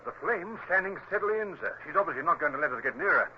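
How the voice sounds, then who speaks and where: An actor playing a hearty, deferential British naval officer in a radio drama, speaking briskly on an old recording. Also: male voice, fairly high pitch, expressive, dull audio, a little hiss or hum, close to an everyday voice. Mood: alert, regretful.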